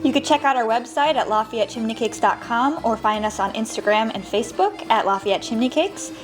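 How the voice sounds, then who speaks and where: A woman's voice: a little high, lively intonation, brisk, low-quality recording. American woman chatting over background music. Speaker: small bakery co-owner being interviewed. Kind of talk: conversational interview answers.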